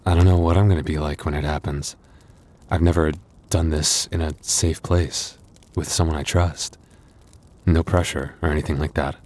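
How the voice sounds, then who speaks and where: A man playing a gentle, anxious young werewolf boyfriend in an intimate audio roleplay, speaking softly and haltingly. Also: rumbling low, steady tone. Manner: intimate, hesitant, soft-hearted, halting.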